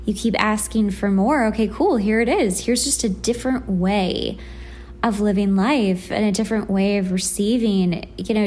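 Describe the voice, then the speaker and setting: A woman's voice: mid-range voice, darkish tone. A woman hosting a casual motivational solo podcast, talking in an informal, conversational and emphatic way. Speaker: podcast host.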